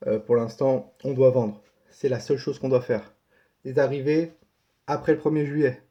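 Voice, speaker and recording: A man's voice: mid-range voice, moderate pace. A man with a head cold, giving a casual football news update in a colloquial way, repeating points for emphasis. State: has a cold. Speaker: host of a football transfer news channel. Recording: dull, lo-fi sound.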